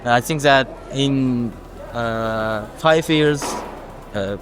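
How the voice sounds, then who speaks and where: A man's voice: mid-range voice, extremely slow, background noise. Hesitant teenage boy. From Kazakhstan. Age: teens. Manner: hesitant English with many fillers. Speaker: passerby in conversation. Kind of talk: casual chat.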